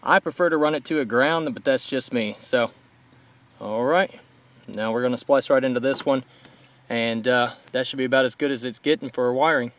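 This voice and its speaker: A man's voice: mid-range voice, normal speed, fairly bright tone. A man hosting a casual hands-on tutorial, explaining each step informally as he goes. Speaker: host of a DIY vehicle tutorial. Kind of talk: casual hands-on how-to narration. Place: in and around a parked car outdoors in daylight.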